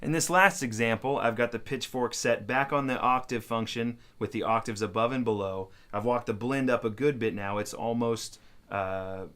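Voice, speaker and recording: A man's voice: mid-range voice, moderate pace, a bit bright, clean tone. A man talking casually as he demonstrates guitar gear in a tutorial.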